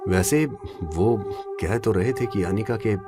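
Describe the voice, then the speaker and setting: An actor playing a doubtful, evasive husband in an audio drama, hesitant and defensive in manner. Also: male voice, lowish voice, measured pace, music in the background, lightly acted. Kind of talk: Hindi audio drama acting.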